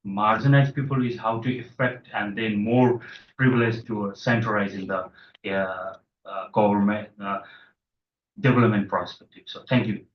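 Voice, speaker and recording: A man from Nepal asking a halting question from the floor of a panel, with many fillers and restarts. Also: fairly low pitch, fairly even intonation, slight background noise. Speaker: audience member asking a question. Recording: roomy hall sound from far off, dull.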